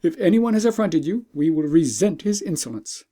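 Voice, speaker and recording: A man's voice: high voice, sing-song, moderate pace, warm tone. A man voicing a protective young prince in a storybook, speaking formally and with pressing, earnest concern. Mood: concerned, insistent.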